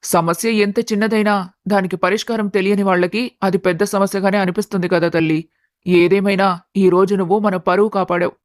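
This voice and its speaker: A woman's voice: medium pitch, fairly even intonation, a little fast, no echo, clean studio sound, close to an everyday voice. A woman voicing a scheming, greedy middle-aged aunt who talks insistently in a family story. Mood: praising. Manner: insistent, calculating.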